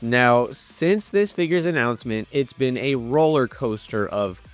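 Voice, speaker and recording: A man's voice: mid-range voice, animated, a little slow, faint music behind. A young man with a stuffy nose chatting casually through a toy unboxing, full of ums and uhs. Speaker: toy reviewer.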